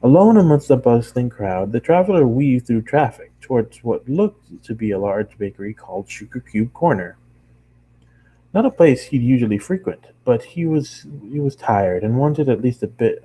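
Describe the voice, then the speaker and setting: A man's voice: mid-range voice, dark tone, breathy edge, poor audio. A man narrating a story aloud in an amateur reading, now and then stumbling and correcting himself. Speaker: amateur fan fiction reader.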